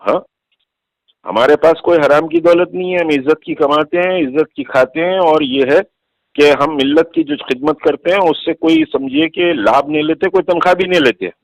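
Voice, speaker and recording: A man's voice: fairly high pitch, big pitch swings, normal speed, creaky, no echo. A man with a Hyderabadi accent on a recorded phone call, defending himself insistently and repeating phrases for emphasis. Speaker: political activist being questioned. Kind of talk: defensive explanation in a phone conversation. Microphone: telephone.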